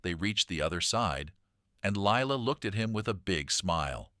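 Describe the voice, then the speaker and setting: A man reading a children's story aloud in an expressive, dramatic storytelling manner. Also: sits low, normal speed, no echo, studio quality. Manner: dramatic, animated delivery.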